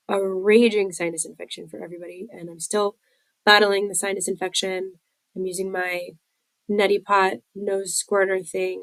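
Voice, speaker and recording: Stuffed-up woman sharing on her podcast, gravelly voice, dry close sound. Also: on the low side, dry recording, rough recording. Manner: candid, rambling, many fillers. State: congested from a head cold. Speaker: podcast host. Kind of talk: personal recovery podcast share.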